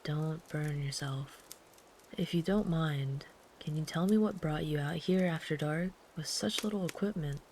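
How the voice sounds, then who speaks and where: A woman voicing a big, gentle, protective traveler camping in the woods, speaking softly and soothingly, sometimes in a whisper, as ASMR comfort roleplay. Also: sits low, a little slow, slight background noise. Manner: soft, soothing, calm, at times whispered.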